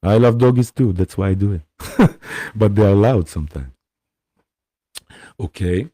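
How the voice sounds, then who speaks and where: Slow, soft-spoken Israeli man. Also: lowish voice, dry recording, studio quality. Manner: halting, gentle, often checks in with "okay?". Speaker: spiritual teacher hosting a live session. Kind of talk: live-streamed spiritual talk.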